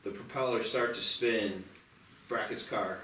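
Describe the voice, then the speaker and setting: A man's voice: lowish voice, fairly even intonation, unhurried, sharp tone, recorded from a distance, a little hiss or hum. A man reading scripted action and stage directions as narrator at a screenplay table read. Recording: roomy, off-mic, music behind.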